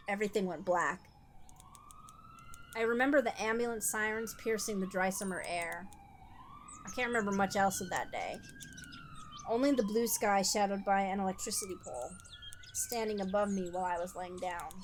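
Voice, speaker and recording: A woman's voice: medium pitch, slight background noise. A woman reading scripted first-person story narration in a subdued, somber manner. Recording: music under the voice. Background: music.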